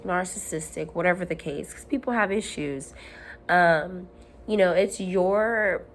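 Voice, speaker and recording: Woman, hoarse recovering voice, lowish pitch, earnest rambling self-help vlog, faint background hum. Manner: earnest, rambling, with frequent ums and restarts. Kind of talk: candid motivational talk to camera. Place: at home.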